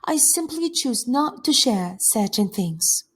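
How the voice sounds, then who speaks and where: A woman's voice: on the high side, big pitch swings, slow, a bit bright, breathy edge, pitched above the performer's own voice, full character voice. A woman reading the part of a guarded, well-bred foreign girl in a play, with formal, precise phrasing. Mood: indignant.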